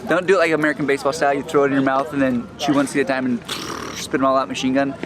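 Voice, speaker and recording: A man's voice: mid-range voice, flat delivery, racing, a crowd in the background. A man hosting a travel vlog, chatting casually to the camera.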